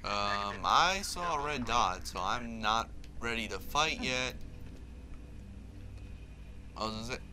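A man's voice: medium pitch, slow, pauses often, bright, forceful tone, slight background noise. A man giving casual, wry gameplay commentary. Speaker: gaming video host. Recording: game music behind. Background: video game music and sounds.

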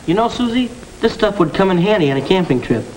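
A man's voice: sits high, sing-song, fast, a little mellow. A young man with an American accent playing a sensible, take-charge teenage older brother in a stiff 1950s educational film. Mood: earnest, practical. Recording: noisy old 1950s film soundtrack.

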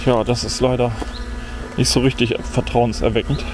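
A man's voice: mid-range voice, little pitch movement, moderate pace. A middle-aged man giving casual running commentary to himself while testing a drone outdoors, muttering mildly disappointed remarks. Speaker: drone vlogger. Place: outdoors in a forest. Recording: outdoor recording with audible insect noise. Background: insects buzzing and faint outdoor ambience.

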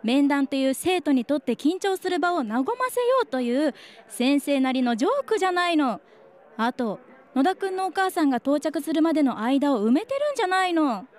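A woman's voice: sits high, animated, a little fast, darkish tone. A woman playing a playful, shameless schoolteacher in a comedic radio drama, joking with a straight face. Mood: breezy, joking. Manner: teasing, straight-faced joking. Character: playful, shameless female homeroom teacher.

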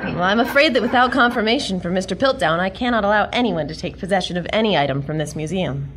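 A woman's voice: medium pitch, quick pace, a bit bright, some chatter behind, slight background noise, close to an everyday voice. A woman playing a plucky, bookish heroine in a comedic audio drama, polite but dryly exasperated. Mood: polite, wary.

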